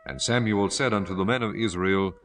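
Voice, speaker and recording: Male scripture narrator, lowish pitch, measured, formal, scripted delivery, music behind. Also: a bit bright.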